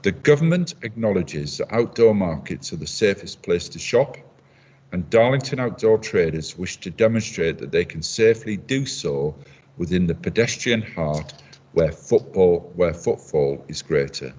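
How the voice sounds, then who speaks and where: A man who chairs a council meeting in a measured, formal way and reads from a script. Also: low voice, lively intonation, darkish tone. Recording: dull lo-fi audio.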